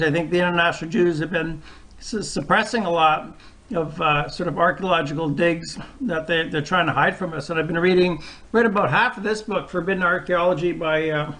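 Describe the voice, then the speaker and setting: A man's voice: high voice, pushed and bright, poor audio. A man hosting a casual discussion, talking in a rambling, loose way. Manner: rambling, loosely strung thoughts. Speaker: host.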